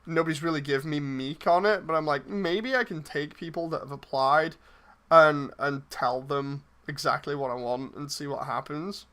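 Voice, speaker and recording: A man's voice: a little high, normal speed, pushed and bright. A man chatting casually on a livestream as he replies to messages, rambling and hesitant, often trailing off mid-thought. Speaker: streamer and project lead casting voice roles.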